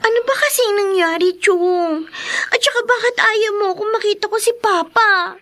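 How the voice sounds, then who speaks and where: A woman's voice: extremely high pitch, moderate pace, bright, forceful tone, faint music behind. A young Filipino actress playing an anxious, loyal young schoolgirl in a Tagalog radio drama. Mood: insistent.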